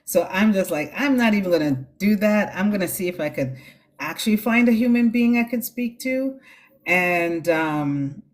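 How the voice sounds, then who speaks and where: A woman's voice: mid-range voice, expressive, moderate pace. A woman hosting a casual live stream, giving spiritual guidance in a reassuring, chatty way. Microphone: phone on a live stream.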